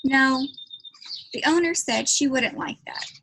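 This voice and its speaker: A woman voicing a shy, hesitant male pet shop clerk in a storybook, mumbling and halting. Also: high voice, creaky, a bit bright, a little hiss or hum, full character voice. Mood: reluctant.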